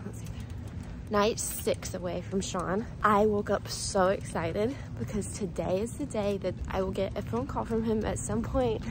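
A young woman vlogging casually into a phone held at arm's length, talking while she walks outside. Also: mid-range voice. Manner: chatty, filler "like", walking while talking. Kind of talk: casual vlog chat. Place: outdoors at a marina and in a car. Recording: noisy outdoor phone recording.

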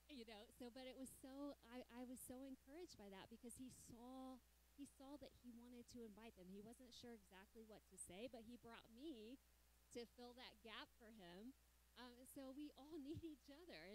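A middle-aged woman giving a sermon in a conversational way, slowing down to read scripture. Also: mid-range voice, a little mellow, slight background noise, low-quality recording. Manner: conversational, reads scripture slowly. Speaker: preacher.

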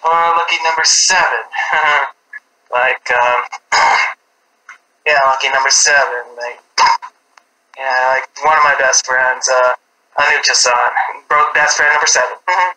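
A man's voice: mid-range voice, fairly even intonation, sharp tone. Young man with a scratchy sore throat. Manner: halting, many fillers, chuckles to himself. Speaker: vlog host and open mic poet. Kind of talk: rambling casual chat. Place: small home room on a video call. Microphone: laptop webcam mic.

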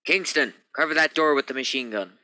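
A man's voice: a little high, a little fast, sharp tone. A man acting a skeptical, commanding spaceship captain in a radio play, emphatic and authoritative.

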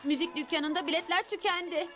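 Young cartoon girl, high pitch, confident, eager, expressive, music behind. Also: brisk. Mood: excited. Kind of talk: animated children's cartoon dub.

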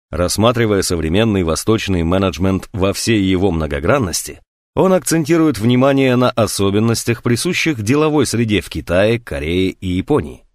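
Low male voice, audiobook narrator, measured reading, animated intonation, dry close sound. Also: dry recording. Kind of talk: scripted nonfiction audiobook narration.

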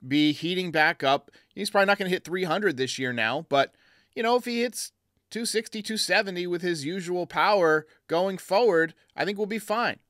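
A man's voice: sits high, a bit bright, dry recording, clean studio sound. A man hosting a fan call-in sports show, giving blunt, emphatic opinions in a casual way.

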